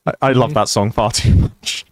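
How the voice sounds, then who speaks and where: A man with a low voice hosting a casual co-op gameplay session, chatty and playful, swearing now and then. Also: fairly even intonation, normal speed, no room sound. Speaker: gaming channel host.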